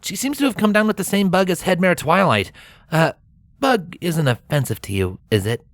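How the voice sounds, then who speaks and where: A woman's voice: on the low side, expressive, normal speed, dry recording, voice pushed up, lightly acted. A woman voicing a young male dragon, a put-upon helper who talks in a casual, snarky way. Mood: wry, friendly.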